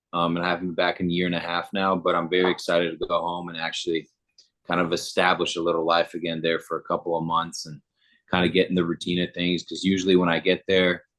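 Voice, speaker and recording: A young man in his twenties from Germany, answering interview questions in a relaxed, upbeat way with frequent filler words. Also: sits low. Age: 20s. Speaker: guest, football player.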